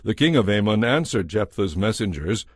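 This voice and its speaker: A man narrating a dramatized scripture audiobook from a script, in a measured, formal manner. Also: fairly low pitch, big pitch swings, no echo, studio quality.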